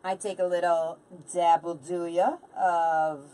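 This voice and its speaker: A woman's voice: on the low side, level tone, slow pace, mellow, recorded from a distance. A woman in her late forties chatting casually and informally as she hosts a craft livestream, bantering with viewers and a helper. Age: late 40s. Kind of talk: casual live chat while crafting.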